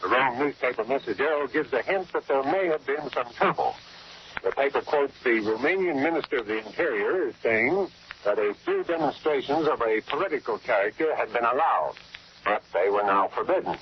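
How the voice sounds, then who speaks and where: A man's voice: mid-range voice, animated, fairly quick. Man reporting on muffled, noisy 1940s radio. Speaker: radio news correspondent.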